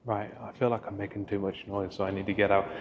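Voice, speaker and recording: A young man with a deep voice, chatting casually in a rambling way as he vlogs a walk outdoors through a town. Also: quick pace, muffled recording. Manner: relaxed, rambling, many fillers. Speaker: travel vlogger. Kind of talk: casual walking vlog. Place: outdoors in a town square and park.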